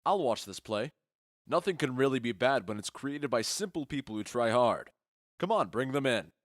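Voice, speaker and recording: A man's voice: mid-range voice, lively intonation, normal speed, no echo, clean studio sound, close to an everyday voice. A man playing a confident, genial Athenian duke in a modernized Shakespeare audio drama, speaking in a self-assured, declamatory way. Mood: gracious, assured.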